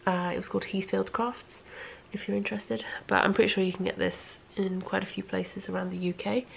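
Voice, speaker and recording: A woman's voice: medium pitch, flat delivery, a little fast, vocal fry, slight background noise, low-quality recording. A woman chatting casually in a vlog in a rambling way, audibly congested and sniffly, sometimes dropping almost to a whisper. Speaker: knitting vlogger.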